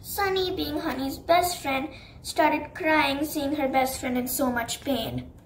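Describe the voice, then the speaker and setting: A woman hosting her channel and narrating a children's bedtime story in a slow, sing-song way. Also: sits high, a little hiss or hum, poor audio.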